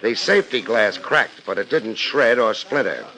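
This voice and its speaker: An actor playing a laid-back, wry private detective in a dry 1950s radio style, on a noisy vintage recording. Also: male voice, lowish voice, lively intonation, normal speed, bright, forceful tone. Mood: brisk, insistent.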